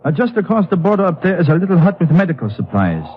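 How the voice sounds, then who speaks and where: A German-accented mountain guide in an old radio adventure. His fairly high voice moves up and down freely, formal yet reassuring. The recording is dull with faint noise. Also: big pitch swings, fast talker. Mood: firm. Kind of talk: 1950s radio adventure acting.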